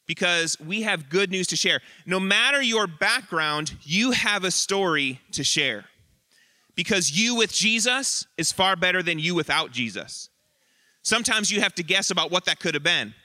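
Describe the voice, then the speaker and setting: A man with a high, very bright and forceful voice delivers a sermon in short, earnest, emphatic phrases, pausing between lines. The sound is dry and close, with little room around it. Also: lively intonation, dry recording. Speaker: lead pastor. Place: church sanctuary.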